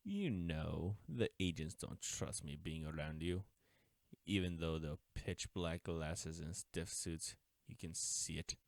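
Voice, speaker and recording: An actor playing an uptight government agent in an audio roleplay, speaking formally and deliberately, smug yet mourning a lost friend. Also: male voice, extremely low pitch, creaky, no echo, full character voice. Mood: smug, taunting. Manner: formal, deliberate, taunting with wistful undertones. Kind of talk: scripted audio roleplay drama, addressing the listener.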